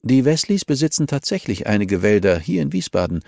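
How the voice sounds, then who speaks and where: A man's voice: fairly low pitch, very animated, brisk, dark tone, dry recording, clean studio sound. A man voicing a sociable, helpful society wife in an audiobook, gracious and breezy in manner. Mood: obliging. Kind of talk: audiobook character dialogue.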